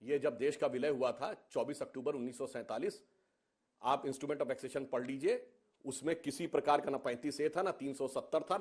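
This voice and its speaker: A man arguing assertively as a political panelist on a TV debate, in a lawyerly way. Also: on the high side. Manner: assertive, lawyerly, cites dates and cases.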